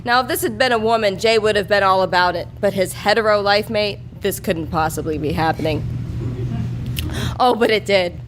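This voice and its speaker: A woman's voice: mid-range voice, moderate pace. Woman reading comic story live, noisy recording. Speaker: story reader and performer. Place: live show before an audience.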